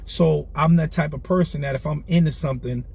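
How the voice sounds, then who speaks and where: A man's voice: a little high, brisk, vocal fry, darkish tone, a little hiss or hum. A man in his forties talking in a candid interview in a car, earnest and streetwise, often repeating himself. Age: 40s. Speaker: clothing brand founder, guest. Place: inside a car.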